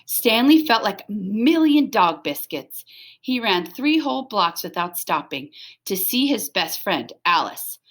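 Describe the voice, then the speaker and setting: A woman's voice: mid-range voice, big pitch swings, unhurried, fairly bright tone. A woman reading a children's story aloud as scripted narration, expressively, with dramatic emphasis. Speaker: storybook reader.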